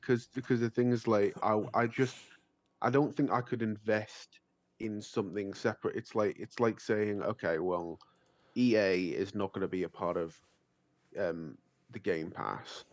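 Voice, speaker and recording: Deep slow hesitant man. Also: fairly even intonation, no room sound. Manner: hesitant, many ums. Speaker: podcast guest. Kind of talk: casual chat.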